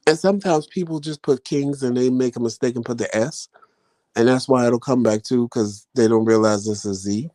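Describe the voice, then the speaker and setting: A man's voice: medium pitch, moderate pace, dark tone. A man hosting a vlog live stream, chatting casually with viewers in a rambling, folksy way. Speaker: vlog host answering live chat.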